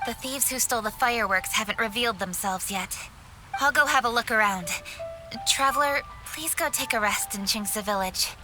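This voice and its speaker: A woman's voice: a little high, moderate pace, over music, close to an everyday voice, rough recording. An actress playing a stern, duty-bound young woman official in a video game, firm and composed. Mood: determined.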